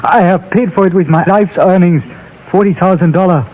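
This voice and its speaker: A man playing a polite, trusting Chinese storekeeper with a Chinese accent in a Western radio drama, speaking in formal, measured phrases. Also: high-pitched. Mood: proudly excited. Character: courteous, trusting older Chinese storekeeper and mine owner. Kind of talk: old-time Western radio drama acting. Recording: muffled old radio recording.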